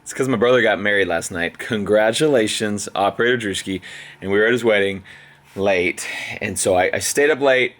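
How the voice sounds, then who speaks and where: A young adult man with a slightly hoarse voice, chatting casually as a veterinarian vlogger in an easygoing, rambling way. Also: fairly low pitch, a little fast, fairly bright tone, echoey room. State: a little hoarse. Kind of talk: casual vlog chat.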